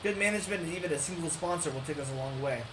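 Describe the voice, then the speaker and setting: A man's voice: fairly high pitch, very fast, background noise. A man hosting a let's play, reading a visual novel aloud and sometimes tripping over lines. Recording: roomy voice under loud game music. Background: loud music.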